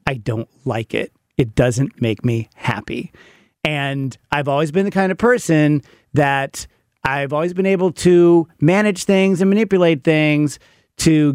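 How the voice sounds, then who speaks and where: A man's voice: on the high side, dry recording, clean studio sound. A man in his fifties telling personal stories conversationally, in a self-deprecating and emphatic way. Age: 50s. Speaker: podcast guest, parent of a teenager. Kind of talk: candid conversational storytelling.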